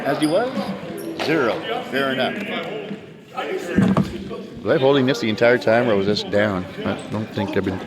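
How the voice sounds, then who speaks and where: A man announcing basketball play by play in a brisk manner, calling the action as it happens. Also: mid-range voice. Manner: brisk, talks over the action. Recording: loud crowd and bouncing balls behind. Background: gym crowd and basketball bounces.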